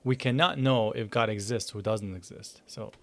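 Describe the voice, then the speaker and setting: A man's voice: fairly low pitch, normal speed, a bit bright. A man hosting a live reaction stream, cutting in with blunt, argumentative casual commentary.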